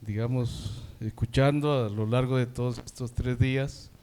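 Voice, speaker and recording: A man from Costa Rica with a Costa Rican Spanish accent, speaking at a symposium, giving a measured, academic comment with fillers like "digamos". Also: medium pitch, steady tone, darkish tone. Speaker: symposium participant asking a question.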